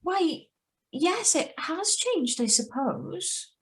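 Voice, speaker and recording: A woman's voice: very high-pitched, very animated, extremely slow, exaggerated voice. A woman acting an anxious young woman living under a false identity, in a radio suspense play read over a video call. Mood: nervous, evasive. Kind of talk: 1940s-style radio suspense narration and dialogue. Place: remote live reading over video call.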